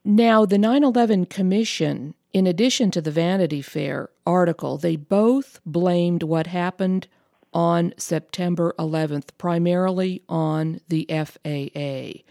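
Lowish, mellow woman interviewer. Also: measured pace, gravelly, no echo, studio quality. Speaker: interviewer, radio host. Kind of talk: measured interview questioning.

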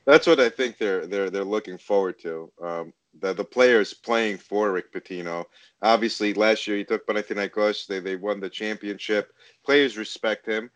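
A man co-hosting a casual sports discussion, offering measured opinions in a loose, hesitant way. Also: lowish voice, a bit bright, no room sound. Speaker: sports show co-host.

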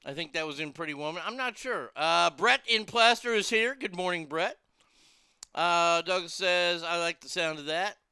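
A man's voice: high voice, moderate pace, sharp tone, smooth, no echo. A man hosting a talk radio show, chatting loosely and crudely and reading out listener messages. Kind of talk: casual talk radio banter.

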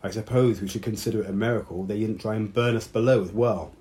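A man voicing an anxious, excitable young female mermaid in a storybook reading. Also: lowish voice, fast talker, natural voice. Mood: startled. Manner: emotional, pleading.

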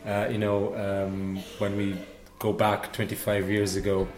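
A man, telling stories in a relaxed way with lots of "you know"s. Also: fairly low pitch, unhurried, echoey room. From Portugal. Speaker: dance teacher and festival organizer. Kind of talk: casual interview answers.

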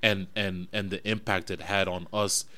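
A man's voice: low-pitched, level tone, normal speed, pushed and bright. A young man of West African family from New Jersey, talking things through reflectively in a casual podcast conversation, with frequent restarts. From West African family, raised in New Jersey. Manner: reflective, thinks aloud with restarts.

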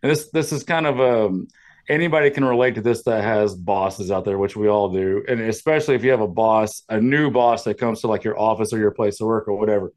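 A man's voice: lowish voice, fairly quick. A man co-hosting a podcast in a casual, rambling, jokey and profane storytelling style, with a powerful voice. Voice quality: loud, powerful voice. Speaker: podcast co-host. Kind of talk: casual comedic chat, telling workplace stories.

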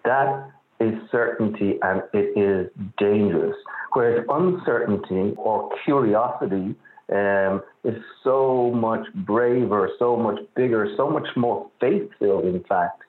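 A man's voice: lowish voice, slow. An Irish man, an author, answering interview questions earnestly and often repeating words as he searches for phrasing. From Ireland. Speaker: author guest. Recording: echoey tinny phone-like line.

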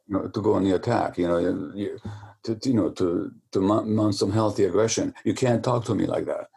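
A man's voice: on the low side, fairly quick, gravelly, dark tone, slightly rough. A man being interviewed who explains at length in a lecturing way, often stumbling over repeated words as he restarts. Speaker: interview guest.

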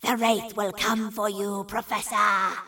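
A woman's voice: mid-range voice, level tone, sharp tone, recorded from a distance. A woman voicing a terrible creature that foretells doom, drawing out its words with screeching menace in an audio drama. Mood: menacing. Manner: slow, drawn-out menacing screech.

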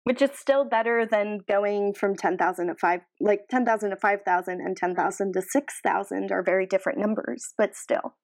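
Measured woman co-hosting a podcast. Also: mid-range voice, steady tone. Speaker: podcast co-host. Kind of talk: casual analytical podcast discussion.

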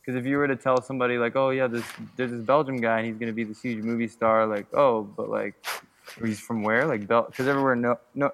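A young man chats as a podcast guest. He tells his story loosely and leans heavily on fillers. His pitch is ordinary for a man. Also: fairly even intonation, fast, a bit bright, slight background noise. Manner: filler-heavy, laughing storytelling. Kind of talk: casual podcast conversation.

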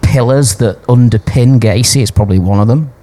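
A man's voice: medium pitch, a little fast, dark tone. A man hosting a podcast in a casual, opinionated way, often checking that others agree. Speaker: podcast host.

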